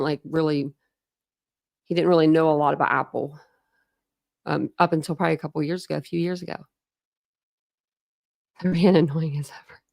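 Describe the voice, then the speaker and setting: Female livestream host, Midwestern accent, slightly low register with a gravelly fry, chatty and rambling. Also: a little mellow. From Ohio. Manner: rambling, heavy use of "like", restarts. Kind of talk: casual rambling chat.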